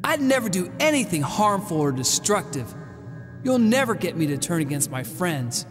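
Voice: mid-range voice, sing-song, moderate pace, close to an everyday voice. An actor playing a brave, hot-headed young hero boy in a video game cutscene, speaking in an earnest, emphatic way. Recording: long reverb over game music.

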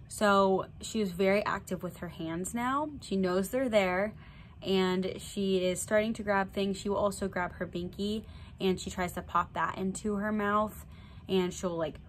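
A woman's voice: medium pitch, slight background noise. A young woman with a slight cold and a little nasal, chatting casually to camera in a vlog update. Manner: chatty, plain, fillers like "so yeah". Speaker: vlogger. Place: indoor room before a draped backdrop with string lights.

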